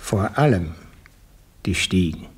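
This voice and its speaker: Very husky, cynical senior official. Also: male voice, low voice, expressive, slow pace, full character voice. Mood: cold, solemn. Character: dry, cynical senior official giving orders. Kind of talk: 1960s German radio play acting.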